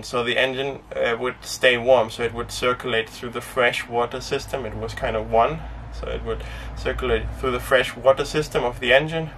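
A man's voice: mid-range voice, distant mic, a little hiss or hum. Rambling young man on a boat. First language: Danish. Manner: relaxed and rambling, with frequent fillers and small laughs. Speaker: sailing vlogger. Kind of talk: casual talk to camera. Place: inside a small sailboat cabin and cockpit tent.

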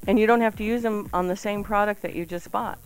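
A woman's voice: medium pitch, steady tone, quick pace, clear, resonant tone. A woman co-hosting a cooking show in casual, playful banter, tossing in quips. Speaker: co-host.

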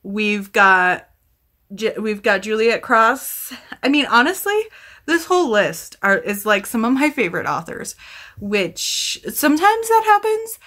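A woman's voice: fairly high pitch, a bit bright. A woman in her thirties chatting casually and effusively as a book vlogger, her throat a little scratchy from talking. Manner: enthusiastic, effusive. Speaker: book reviewer, video host. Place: small indoor room at home with bookshelf.

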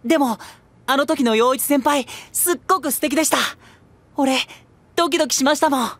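A man's voice: very high-pitched, brisk, fairly bright tone, no echo. A man acting an earnest, tender young male idol junior in a Japanese voice drama, polite and emotional. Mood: admiring.

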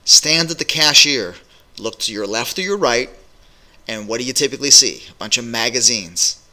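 A man's voice: medium pitch, normal speed, low-quality recording. A middle-aged man coaching business owners straight to a webcam in a small home office, talking directly with plenty of uhs. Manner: energetic, direct, many fillers. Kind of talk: direct-to-camera business advice vlog. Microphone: webcam at desk.

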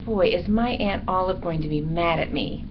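A woman's voice: on the low side, moderate pace, lightly acted. A woman voicing a small, chatty male bat from an animal storybook, with a theatrical, rambling, comically aggrieved delivery. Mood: mournful. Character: chatty, dramatic, self-pitying little male bat. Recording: dull recording with background noise.

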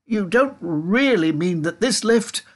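A man voicing an excitable old grandfather in a storybook reading, shouting and spluttering his exclamations. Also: high voice, slow, pitched above the performer's own voice, heavily acted. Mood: alarmed.

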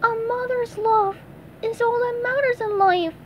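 A woman reading aloud and voicing a cheerful young male sea sponge in a high, squeaky put-on voice. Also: steady tone, a little slow, a little hiss or hum. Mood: heartbroken.

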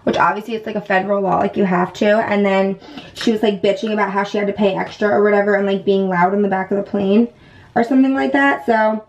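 A woman's voice: medium pitch, steady tone, quick pace, poor audio. Chatty young woman vlogger telling a story. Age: 20s. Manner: chatty, heavy use of "like" and "whatever". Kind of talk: casual chat, informal storytelling to camera. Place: indoors at home, bathroom. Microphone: camera at about arm's length.

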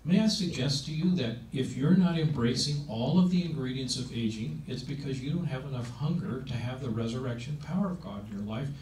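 A man's voice: mid-range voice, lively intonation, brisk, few pauses, a little mellow. A seventy-year-old man preaches on aging with emphatic, conversational delivery and frequent rhetorical questions, talking into a handheld mic. His voice is recorded somewhat distant from the mic in a roomy meeting hall. A faint background hiss runs underneath. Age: 70s. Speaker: speaker on aging. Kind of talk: sermon-style talk. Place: meeting room.

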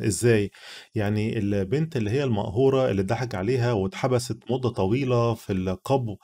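A young Egyptian-accented man talks his viewers through a film review at a brisk clip. His voice is dark and mellow, and he sounds chatty and earnest, as if speaking straight to a friend. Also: mid-range voice. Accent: Egyptian Arabic. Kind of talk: casual spoken film review.

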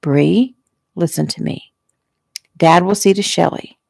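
A woman narrator plays a tense, commanding young man in an audiobook. The voice is low and creaky, firm and curt. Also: unhurried, no echo, clean studio sound, heavily acted. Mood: controlled, urgent. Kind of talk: audiobook character acting.

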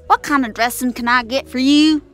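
A literal-minded, earnest waitress, played by a woman in a comedy audio sketch. Her high voice is polite and explanatory, with faint music underneath. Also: moderate pace, lightly acted.

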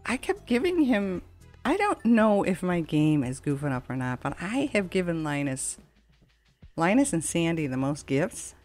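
A woman hosts a gaming video in a distinctly low-pitched voice. Her commentary is casual and conversational, wandering from one thought to the next. Faint game music plays underneath her. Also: a little mellow. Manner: chatty, rambling, conversational. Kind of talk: casual let's play commentary. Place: at home, window open a crack, fan running.

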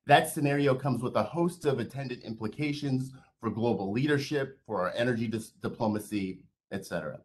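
A man, a board member, giving measured, earnest prepared remarks over a video call. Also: mid-range voice, a little hiss or hum.

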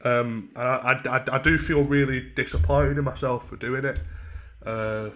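A man in his twenties with a Northern English accent, talking casually and mock-earnestly as a deadpan, hesitant prank caller at a desk microphone. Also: medium pitch, normal speed. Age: 20s. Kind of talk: casual prank phone call. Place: small room at home. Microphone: desk microphone on a stand.

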